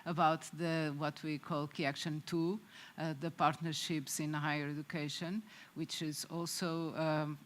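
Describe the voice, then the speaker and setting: A woman presenting at a conference, halting with many fillers and restarts. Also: deep voice, slight background noise.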